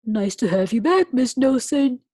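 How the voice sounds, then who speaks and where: A woman's voice: sits high, animated, a little slow, warm tone, slightly rough, no room sound, studio quality, pitched above the performer's own voice, full character voice. A woman voicing a stuffy, fussy male school principal who speaks in a pompous, formal way.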